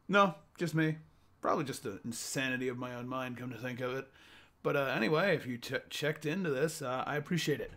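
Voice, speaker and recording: A man in his twenties talking casually to camera in a rambling, animated monologue at home. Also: mid-range voice, fairly quick. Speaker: vlogger. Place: small room at home, indoors.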